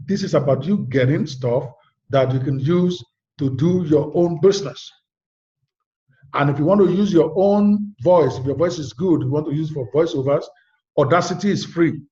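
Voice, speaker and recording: A man's voice: on the high side, normal speed, mellow, muffled recording. A man teaching a live online class in a direct, chatty way, often spelling out web addresses.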